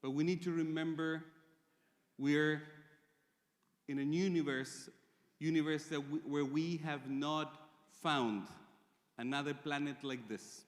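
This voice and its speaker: A man's voice: fairly high pitch, steady tone. Chilean man speaking in a reverberant hall. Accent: Chilean Spanish. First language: Spanish. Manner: measured, with frequent pauses and fillers. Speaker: conference speaker. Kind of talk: conference presentation. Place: large conference hall.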